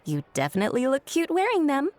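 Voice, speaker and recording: A woman's voice: high voice, huge pitch swings, fast, a little mellow. A woman voicing a friendly, polite female clothing shopkeeper in a game, courteous and coaxing. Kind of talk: game character acting.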